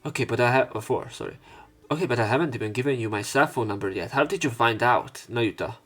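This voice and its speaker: A man's voice: medium pitch, fast, a bit bright. A man reading visual novel text aloud and breaking off for casual, sweary let's play commentary. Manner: casual, sweary interjections between read lines.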